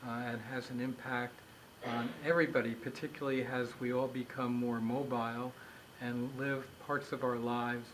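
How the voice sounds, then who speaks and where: An older man explains, in a steady, nearly monotone delivery, with many "um" pauses. His voice sits in a middle register. It is recorded in an indoor office with a faint hiss behind it. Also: mid-range voice, poor audio. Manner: measured, with frequent filler pauses. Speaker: executive director of the organization. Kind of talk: explanatory interview talk.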